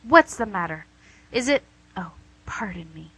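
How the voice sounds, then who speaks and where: Devil for radio comedy, played by a woman: bright, forceful voice, smug salesmanship, teasing rhetorical questions. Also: medium pitch, lively intonation, a little slow, breathy edge, no echo, full character voice, rough recording. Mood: chatty, persuasive. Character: the Devil, wheeling-dealing, smug, teasing tempter. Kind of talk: radio play comedy acting.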